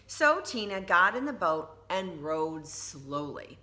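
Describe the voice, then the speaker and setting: A woman teacher reading a storybook aloud to children, expressively, pausing to put questions to them. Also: fairly low pitch. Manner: expressive, questioning the young listeners.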